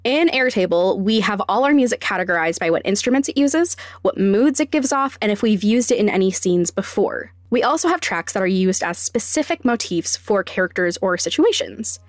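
A woman's voice: medium pitch, expressive, moderate pace, hardly any pauses, no echo. A woman hosting a podcast, giving scripted explanations with wry, self-deprecating asides. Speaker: podcast host and sound designer.

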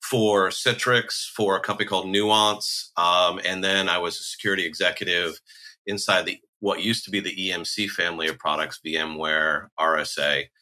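A man with a very deep voice and an extremely bright, sharp edge. He talks haltingly on a podcast, repeating words and slipping in dry asides. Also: steady tone. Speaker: guest, chief information security officer. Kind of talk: casual podcast conversation.

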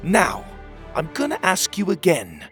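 A man voicing a stubborn, suspicious young male hero in a storybook, speaking bluntly and a bit higher than his own voice. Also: huge pitch swings, unhurried, a bit bright, soft background music, heavily acted. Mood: wary.

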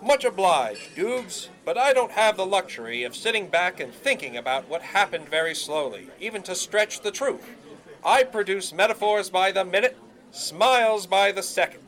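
An actor playing a cocky, grandiose young 1920s radio announcer in an audio drama, florid and emphatic. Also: male voice, high-pitched, animated, moderate pace, fairly bright tone, poor audio. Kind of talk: period audio drama acting.

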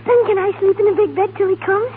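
Woman as plaintive sleepy small Irish boy, very high voice, radio play, tinny. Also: fairly even intonation, quick pace. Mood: sleepy, anxious. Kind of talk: 1930s radio drama acting. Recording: tinny, noisy 1930s radio recording.

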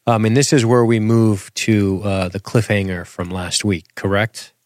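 A man's voice: fairly low pitch, steady tone, measured pace, darkish tone, no room sound. A man co-hosting a casual TV episode recap, keeping the talk on track with dry, teasing humor. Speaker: podcast co-host.

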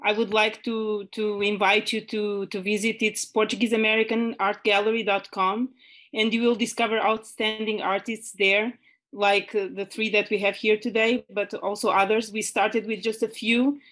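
A woman's voice: mid-range voice, little pitch movement, normal speed, fairly bright tone. A woman moderating an online conference panel, talking with many hesitant fillers over a video call.

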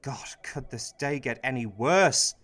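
An actor playing an anxious, guilt-ridden Prime Minister in a satirical radio play, whiny and flustered. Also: male voice, medium pitch, measured pace, fairly bright tone, close to an everyday voice.

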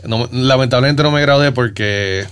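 A man's voice: mid-range voice, moderate pace, fairly bright tone, smooth. A man from Puerto Rico with a Puerto Rican accent, chatting casually and informally as a podcast guest. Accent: Puerto Rican Spanish. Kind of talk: casual chat.

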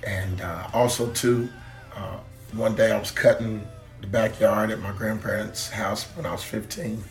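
A man from Kentucky, a guest singer, telling relaxed stories in an interview with many filler words. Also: lowish voice, unhurried, faint music behind. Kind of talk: interview storytelling.

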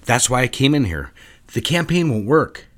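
A man's voice: medium pitch, very animated, normal speed, fairly bright tone. An actor playing a stern, controlling male advertising executive, clipped and commanding. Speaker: boss at an advertising firm. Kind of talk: audio drama acting.

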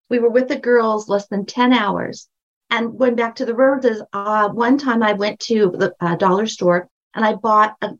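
Emphatic older woman with a high voice. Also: dull audio. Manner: emphatic, storytelling, repeats phrases for stress. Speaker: guest, brand strategist and book coach. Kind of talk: podcast interview.

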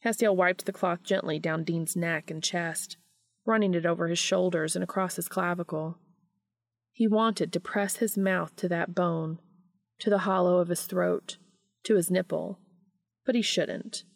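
Female fiction narrator, lowish register with noticeable vocal fry, dry intimate sound. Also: fairly even intonation, measured pace, no room sound. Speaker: audiobook reader of fan fiction. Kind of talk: scripted story narration.

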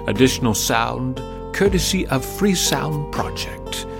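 Male announcer, slow delivery, gravelly voice, scripted credits, music behind. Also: medium pitch, animated.

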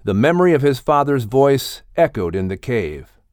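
A man narrating an audiobook in a measured, expressive storytelling manner. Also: medium pitch, dry recording, studio quality. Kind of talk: scripted narration.

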